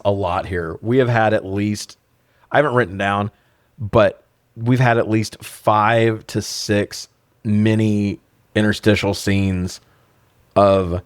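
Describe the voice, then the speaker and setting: A man's voice: lowish voice, no room sound, clean studio sound. A man in his forties co-hosting a casual comedic review show, talking in a profane, sarcastic way. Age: 40s. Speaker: podcast co-host reviewing films. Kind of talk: casual comedic recap chat.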